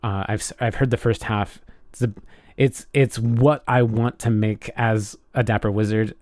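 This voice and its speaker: A man's voice: lowish voice, fairly even intonation, moderate pace, darkish tone, no echo, studio quality. A man co-hosting a podcast in casual chat, effusive and enthusiastic, often restarting his phrases. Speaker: podcast co-host.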